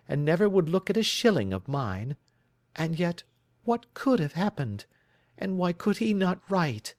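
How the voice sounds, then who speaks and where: A man's voice: dry recording, clean studio sound. A man voicing an earnest young woman who is anxious and devoted to her missing fiancé, in a raised, pleading voice. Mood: distressed. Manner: pleading, distressed, higher voice.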